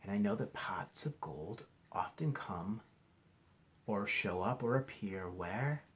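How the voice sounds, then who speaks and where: A man reading a storybook aloud to children like a teacher, expressively, pausing to ask questions and explain. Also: on the low side, animated. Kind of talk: interactive storybook read-aloud.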